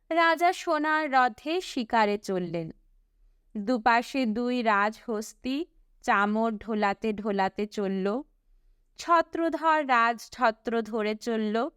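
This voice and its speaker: A woman reading a storybook as an audiobook narrator, with a measured, deliberate storytelling delivery. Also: on the high side, fairly bright tone, clean tone, no echo, studio quality.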